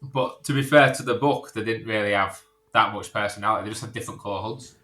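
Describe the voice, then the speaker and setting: A man's voice: on the low side, quick pace, sharp tone, reverberant. A man chatting casually on a podcast in a blunt, sweary way.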